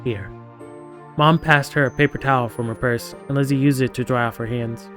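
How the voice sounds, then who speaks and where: This is a man streaming a game, with faint music playing behind him. His pitch sits in the middle for a man. He moves between reading narration aloud and casual, sarcastic commentary peppered with swearing. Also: fairly even intonation, a little fast. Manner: sarcastic, profane asides.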